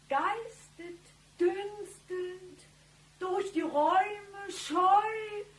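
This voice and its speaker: An actress playing a young literature student in a satirical radio play, reciting in a solemn, drawn-out manner. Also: female voice, very high voice, reverberant, full character voice, rough recording. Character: young female former literature student reciting expressionist poetry.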